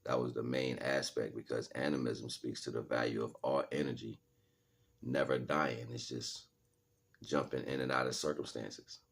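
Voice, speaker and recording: A man's voice: extremely low pitch, level tone, moderate pace, creaky, slightly rough. A man speaking casually to livestream viewers like a street preacher, in heavy slang, repeating phrases for emphasis. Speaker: livestream host and spiritual teacher.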